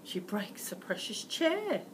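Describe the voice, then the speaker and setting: Animated British woman reading a story. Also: medium pitch, slight background noise. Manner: theatrical, emphatic, deliberate phrasing. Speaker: teacher reading aloud for children. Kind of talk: dramatic rhyming storybook narration.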